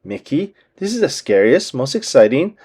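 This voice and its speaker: A man voicing an excitable female cartoon character from a storybook, exclaiming dramatically. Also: medium pitch, normal speed, breathy edge. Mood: relieved, excited.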